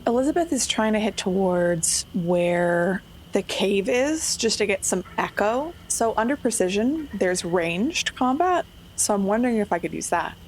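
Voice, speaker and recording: A woman's voice: medium pitch, lively intonation, a little slow, hardly any pauses, rough recording. A woman talking casually as a tabletop game player, describing her character's moves in the third person. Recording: faint background noise.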